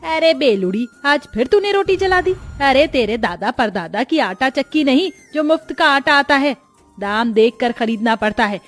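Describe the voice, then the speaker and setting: A scolding village mother, acted by a woman, high in pitch and exasperated. She nags sharply in cartoon moral-story style, with music behind her. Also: lively intonation, moderate pace. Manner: nagging, exasperated.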